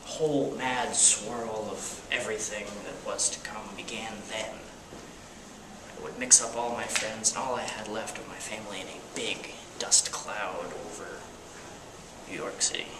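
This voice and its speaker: A man's voice: on the low side, steady tone, measured pace. A man, a student, giving an amateur dramatic reading of a novel in a plain, unpolished way with some stumbles. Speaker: student reader. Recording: distant mic with loud background noise.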